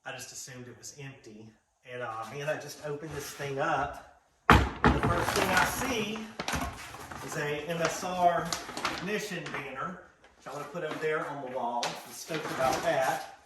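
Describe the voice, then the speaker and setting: A man's voice: a little high, normal speed, heavy background noise. A man hosting a casual show-and-tell vlog, talking in a folksy, rambling, enthusiastic way. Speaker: vlog host, vintage garage restorer.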